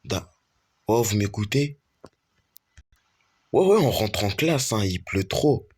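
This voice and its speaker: A man improvising a homemade school story, voicing every character himself in a halting, repetitive way with frequent restarts. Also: lowish voice, expressive, darkish tone, slightly rough. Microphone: screen-recorder capture.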